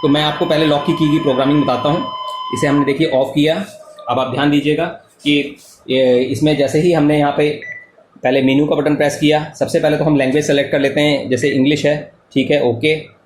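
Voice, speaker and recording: An Indian man with a slightly high voice walks through a product tutorial step by step. He restates key points for the listener. The recording sounds echoey, with clear noise behind him. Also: little pitch movement, a little fast. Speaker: product demonstrator.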